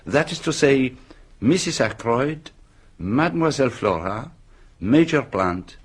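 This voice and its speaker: Brief: shrewd foreign detective in radio mystery, courteous but probing, husky, very lively intonation. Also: male voice, mid-range voice, unhurried. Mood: courteous, coaxing. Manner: formal, probing, ends statements with questions. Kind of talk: radio drama acting.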